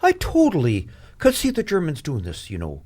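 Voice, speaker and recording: Woman playing an excitable, swooping-voiced priest. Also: sits low, moderate pace, heavily acted. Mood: fascinated. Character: excitable, curious male priest of a small town. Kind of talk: improvised comedic radio drama.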